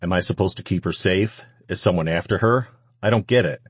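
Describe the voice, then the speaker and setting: A hot-headed winged recruit from an audiobook, read by a man, indignant and given to muttering and growling. The voice is low and husky, with a dry, close sound. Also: fairly quick, no echo. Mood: wary, confused.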